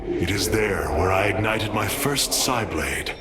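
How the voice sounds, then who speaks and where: An actor playing a steadfast, noble alien commander in a video game, with a solemn, measured and commanding delivery. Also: male voice, deep voice, lively intonation. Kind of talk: dramatic video game acting. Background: loud music.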